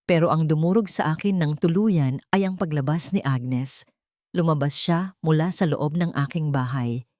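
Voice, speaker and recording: A woman's voice: low-pitched, moderate pace, dark tone, dry recording, clean studio sound. A woman reading a scripted, dramatic first-person story as an audio narrator.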